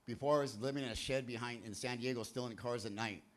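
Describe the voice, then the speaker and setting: A man's voice: medium pitch, steady tone, quick pace, slight background noise. A man hosting a church recovery meeting from a stage. He talks in a loose, rambling, jokey way with sudden bursts of emphasis. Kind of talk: energetic sermon-like talk to a crowd. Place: church hall, speaking from a stage.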